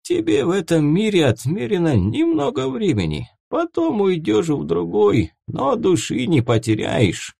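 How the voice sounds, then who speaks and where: Audiobook role: hoarse old male elder, played by a male narrator, solemn and mysterious, pitched up, dry sound. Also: huge pitch swings, measured pace, few pauses, mellow, no room sound, full character voice. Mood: weary, solemn.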